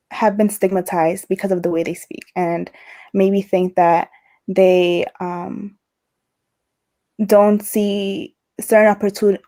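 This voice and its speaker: A woman's voice: medium pitch, dark tone. A young woman who stutters, repeating and breaking off words as she talks about her own experience in a casual interview. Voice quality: stutters, with repetitions and broken-off words. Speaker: interview guest. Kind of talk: casual conversation over a livestream.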